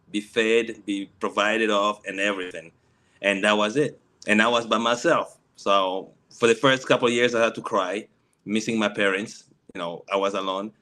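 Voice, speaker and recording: A middle-aged man from the Congo, a native Swahili speaker, telling his refugee story on a video call panel with halting speech and frequent restarts. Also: lowish voice, bright, forceful tone. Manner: halting, many restarts and fillers.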